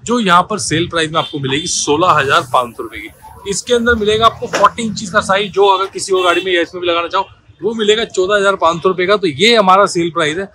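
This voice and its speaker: A high-pitched male voice moves quickly from part to part, selling car accessories in a shop. He talks like a pushy salesman, keeps calling the viewer brother, and quotes sale prices. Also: sharp tone, slight background noise. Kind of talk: sales pitch walkthrough video.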